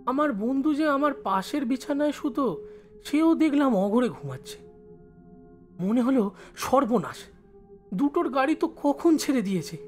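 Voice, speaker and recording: A man playing a village schoolboy who studies in the city, telling his story in expressive first-person narration. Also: very high voice, measured pace, pauses often, soft background music, heavily acted. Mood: suspenseful recounting. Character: village schoolboy studying in Kolkata, recalling his life.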